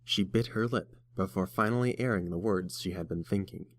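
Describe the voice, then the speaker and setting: A man's voice: sits low, a little fast. A man narrating a story from a script as a storybook narrator.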